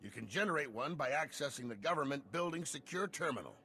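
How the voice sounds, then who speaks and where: A gruff veteran security chief in a sci-fi game. He is blunt and wry, with a gravelly edge and very animated pitch. Faint music runs underneath. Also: fast, full character voice. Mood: brisk briefing. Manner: blunt, wry, informal briefing.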